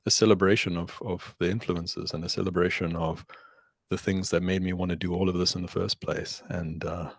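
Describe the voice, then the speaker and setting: A middle-aged man speaks in a very low, unhurried voice, weighing his words as he talks about his craft. The sound is dry and close. He comes across as thoughtful and gracious. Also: flat delivery, dry recording. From South Africa. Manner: reflective, measured, warmly thankful. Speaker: musician guest. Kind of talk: casual interview chat.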